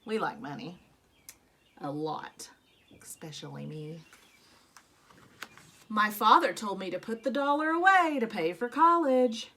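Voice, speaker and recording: A woman reading a children's picture book aloud expressively, telling it as a young boy, with dramatic emphasis and drawn-out rueful phrases. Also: medium pitch, animated, bright, forceful tone, a little hiss or hum. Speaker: storybook reader. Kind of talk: expressive read-aloud of a children's story in a young boy's first person.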